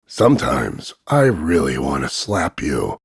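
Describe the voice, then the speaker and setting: A low, gravelly macho action hero for a voice-over demo. He is cocky and gruff, drawling his mocking one-liners slowly with big swings in pitch. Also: no room sound, clean studio sound, heavily acted. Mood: mocking, smug. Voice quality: gravelly, husky. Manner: deadpan, drawling, mocking delivery. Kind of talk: scripted voice-over demo of one-line insults.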